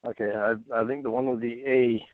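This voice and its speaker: A man's voice: mid-range voice, level tone, fairly quick, a crowd in the background. Man on a tinny, phone-like recording. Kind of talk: reading a chat log aloud with asides. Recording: tinny phone-like playback, very narrow band.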